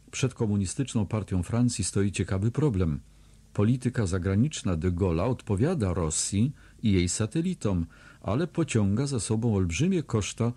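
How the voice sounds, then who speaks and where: A man's voice: fairly low pitch, warm tone, slightly rough. A man reading diary entries aloud as an audiobook narrator, with a dry, sardonic delivery that lands hard on the insults. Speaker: audiobook reader.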